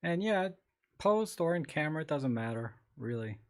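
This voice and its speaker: A man hosting a casual live-streamed photography Q&A, reading viewer comments aloud and answering in a rambling, relaxed way with many restarts. His voice is a little scratchy today. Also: a little high, normal speed. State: slightly scratchy voice.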